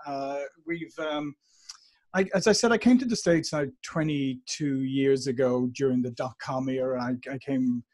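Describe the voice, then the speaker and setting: A man in his forties speaks with a warm Dublin Irish accent. He talks in a lively, conversational way, full of restarts and small fillers. His pitch sits in the middle range. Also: unhurried. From Ireland. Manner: animated, restarts sentences, frequent "uh". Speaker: podcast guest. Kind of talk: conversational interview.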